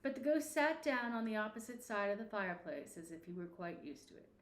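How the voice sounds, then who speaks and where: A woman reading a classic story aloud in expressive, scripted narration. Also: mid-range voice, a little fast, echoey room. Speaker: audiobook reader.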